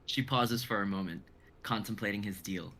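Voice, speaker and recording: A man's voice: lowish voice, normal speed, sharp tone, a little hiss or hum. A young man reading stage directions as dramatic narration in a live script reading. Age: young adult.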